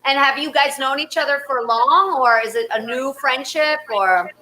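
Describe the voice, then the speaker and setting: A woman's voice: high voice, moderate pace, pushed and bright, poor audio. A woman hosting a casual live online show in a playful, slightly hesitant way. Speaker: host.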